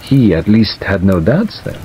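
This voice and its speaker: A man voicing a calm, watchful detective who questions in short, measured phrases in an audiobook drama. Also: fairly low pitch. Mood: probing, calm. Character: composed, probing male detective, watchful and terse. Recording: dull audio under steady rain noise.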